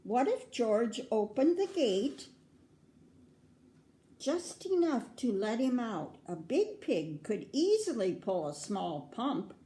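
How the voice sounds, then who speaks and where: Slow-paced older American woman reading a storybook. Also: on the high side, big pitch swings, mellow. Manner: grandmotherly, prompts young listeners with questions. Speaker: children's story reader. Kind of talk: read-aloud picture book storytelling with asides to children. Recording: roomy, echoing indoor sound.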